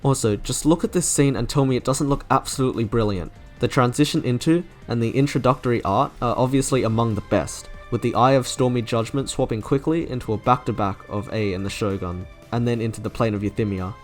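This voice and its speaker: A man's voice: mid-range voice, a little fast, few pauses. Enthusiastic male reviewer over music. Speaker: video creator reviewing game character trailers. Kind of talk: scripted review narration.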